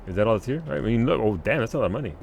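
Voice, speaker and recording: A man's voice: mid-range voice, lively intonation, racing, creaky, a little mellow, slight background noise. A man casually chatting to his viewers while playing a game live, rambling and laughing at himself. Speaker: game streamer. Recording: game music playing underneath. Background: game music.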